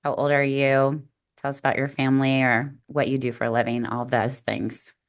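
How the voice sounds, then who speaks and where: A low-pitched woman hosts an interview podcast in an upbeat, brisk way. She moves quickly from one question to the next and keeps the talk going with short, ready okays and yeahs. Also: flat delivery. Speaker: podcast host.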